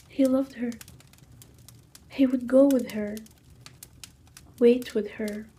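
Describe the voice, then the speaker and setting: A woman narrating a scripted ASMR story in a soft, hushed manner with drawn-out phrasing. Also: fairly high pitch, a little hiss or hum.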